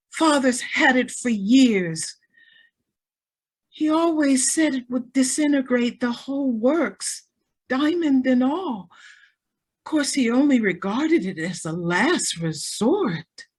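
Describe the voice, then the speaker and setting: A woman's voice: sits high, lively intonation, takes it slowly, breathy edge, full character voice. A woman playing a naive, dreamy rich young sweetheart in a radio drama, plaintive and languid. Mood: matter-of-fact.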